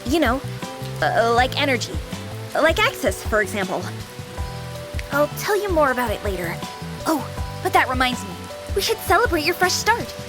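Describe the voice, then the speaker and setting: A woman's voice: high-pitched, sing-song, moderate pace, long pauses, music in the background. A woman playing a young female AI companion with an anime-girl persona in a visual novel game, devoted and formal but playful. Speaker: game character.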